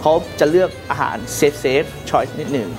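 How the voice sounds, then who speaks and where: A male executive chef in his thirties, from Thailand, speaks to camera in a calm, measured way, slipping in English terms. A clear music bed plays under his voice in the kitchen. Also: on the high side. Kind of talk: to-camera introduction. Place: restaurant kitchen indoors. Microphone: lapel.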